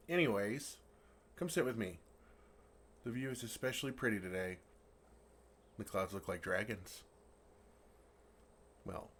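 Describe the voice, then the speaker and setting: A man's voice: low voice, slight background noise. A man playing a friendly, naive male dragon who talks earnestly and playfully to a human in an ASMR roleplay. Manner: earnest, playful, puzzled, soft chuckles. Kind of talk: ASMR roleplay, intimate one-sided dialogue.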